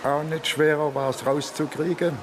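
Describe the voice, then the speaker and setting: An actor playing a blunt, grumbling police detective in a radio drama. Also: male voice, a little high, fairly even intonation, normal speed, background noise, natural voice. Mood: probing, blunt. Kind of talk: colloquial radio drama acting. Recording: music behind the voice.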